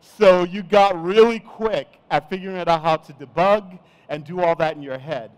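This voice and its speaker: A middle-aged man from Nigeria giving a relaxed, chatty conference talk on stage. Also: high-pitched, moderate pace, poor audio. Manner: relaxed, chatty, self-deprecating. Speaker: conference speaker.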